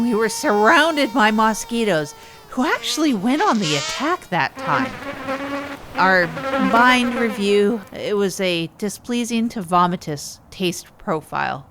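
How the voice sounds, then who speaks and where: A woman's voice: mid-range voice, a bit bright, slight background noise. Earnest, hapless small-time inventor played by a woman, hesitant rambling narrator for an improvised comedy audio drama, mid-range voice. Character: earnest, hapless amateur artisanal-coffee maker telling camping misadventures. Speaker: podcast narrator.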